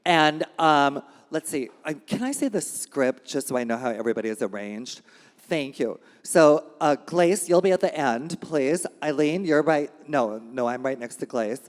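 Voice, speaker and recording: A man's voice: on the high side, animated, moderate pace. Man hosting in a reverberant hall. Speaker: host and reader at a live reading event. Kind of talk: live event hosting and reading of a satirical editorial. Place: indoor gallery event space. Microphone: handheld event microphone. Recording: reverberant hall live recording.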